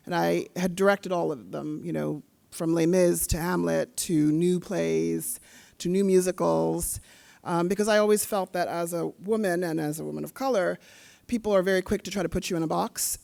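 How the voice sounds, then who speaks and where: A woman from South Africa, a theater director, telling her career story on a panel with lively energy and some laughter. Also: fairly low pitch, gravelly. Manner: animated storytelling with laughs and fillers. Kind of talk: panel discussion answers. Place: convention panel hall.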